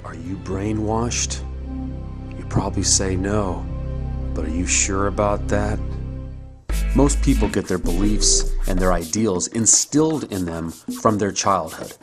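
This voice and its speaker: A man's voice: deep voice, a little slow, over music. An American man from California giving a scripted, persuasive monologue, speaking directly and rhetorically to the viewer. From San Jose, California, United States. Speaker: video blogger.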